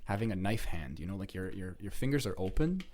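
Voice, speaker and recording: A man's voice: low-pitched, big pitch swings, fast, a little mellow. A man whose first language is French, co-hosting a podcast in casual, rambling chat and swearing now and then. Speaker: podcast co-host.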